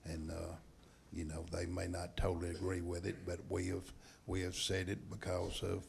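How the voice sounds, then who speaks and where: A man on a school board, speaking haltingly and repeating words. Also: low voice, monotone, gravelly, slight background noise. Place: school board meeting room.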